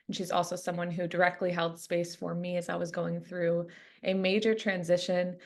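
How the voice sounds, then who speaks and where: A woman hosts her podcast in an earnest, encouraging way, asking long questions. Her voice runs low with plenty of gravelly fry. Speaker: podcast host, coach. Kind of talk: interview podcast hosting.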